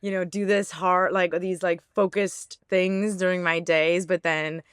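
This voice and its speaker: A woman's voice: lowish voice, fairly even intonation, a little slow, clean tone, no echo, clean studio sound. A woman hosting a podcast, talking in a bubbly, upbeat way with lots of "like". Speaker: podcast host. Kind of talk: casual interview chat.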